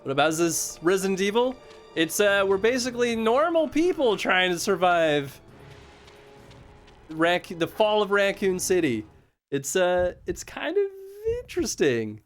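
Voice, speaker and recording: A man streaming a horror game live, chatting casually and yelling excitedly at the action. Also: sits high, a little slow, faint music behind. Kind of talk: live gameplay commentary.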